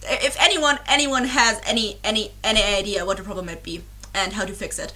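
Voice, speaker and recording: A woman's voice: mid-range voice, steady tone, fairly quick, pushed and bright. A young woman, chatting casually to her webcam as a reaction YouTuber, rambling and apologetic with many fillers and giggles. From Germany. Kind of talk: casual chat to camera.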